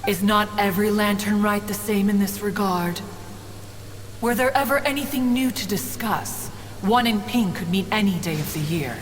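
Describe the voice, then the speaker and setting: A woman's voice: mid-range voice, moderate pace, slight background noise, natural voice. Lofty immortal woman voiced by an actress, long reverb. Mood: haughty, wry. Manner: formal, lofty, speaks of herself as "one". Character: proud old immortal adeptus woman, aloof and wry. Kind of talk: scripted video game acting. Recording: long reverb, music behind.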